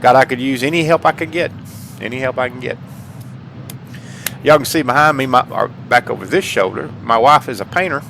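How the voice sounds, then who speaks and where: A man of average pitch talks casually to his online viewers. He is earnest and folksy, doubling back on phrases to stress them. Some noise sits behind his voice. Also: brisk, pushed and bright. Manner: folksy, repeats phrases for emphasis; earnest, heartfelt. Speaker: gaming channel host. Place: indoors at home, paintings behind. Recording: clear background noise.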